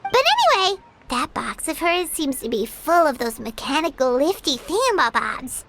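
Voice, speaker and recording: A woman voicing a tiny, cheeky fairy girl companion in a video game, with a squeaky, childlike, chirpy and chatty delivery. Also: very animated, normal speed, a bit bright, exaggerated voice. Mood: gossipy, sheepish. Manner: chirpy, refers to herself by name in third person. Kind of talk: scripted game character dialogue.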